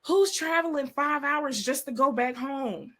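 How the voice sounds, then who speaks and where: High-voiced woman in her thirties. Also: unhurried. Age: 30s. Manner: storytelling, expressive, quotes people in voices. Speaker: podcast co-host. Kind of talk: casual chat.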